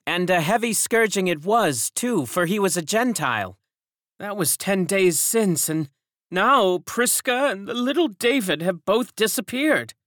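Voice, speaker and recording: A woman's voice: medium pitch, expressive, a little slow, fairly bright tone, dry recording, studio quality, pitched above the performer's own voice. A woman voicing a knowing old servant woman. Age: older.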